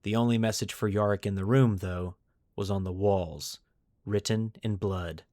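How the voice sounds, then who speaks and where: A man's voice: lowish voice, steady tone, normal speed, dark tone, dry recording, clean studio sound. A man hosting a podcast, moving between storytelling narration and casual discussion. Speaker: podcast host.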